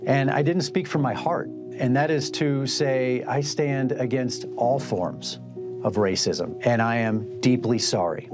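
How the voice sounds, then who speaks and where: A man's voice: mid-range voice, unhurried, music in the background. A man making a solemn public statement of apology.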